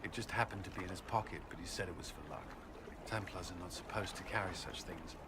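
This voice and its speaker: A man playing an earnest former Templar commander haunted by guilt, speaking haltingly and formally in a dramatic video game. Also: sits low, very noisy, lightly acted. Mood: tender, reflective.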